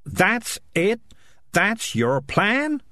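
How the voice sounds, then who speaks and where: A man's voice: sits high, very animated, normal speed, a bit bright, dry recording, clean studio sound, pitched above the performer's own voice, heavily acted. A man voicing a bossy, dramatic, imaginative young girl in a storybook, full of self-important, confident declarations. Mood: indignant.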